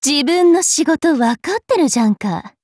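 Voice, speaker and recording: A woman voicing a bratty, cruel young fairy witch in an anime game, haughty and taunting with a rough tongue. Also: sits high, expressive, moderate pace, darkish tone, dry recording, studio quality. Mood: taunting, aggressive. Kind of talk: Japanese anime game character voice lines.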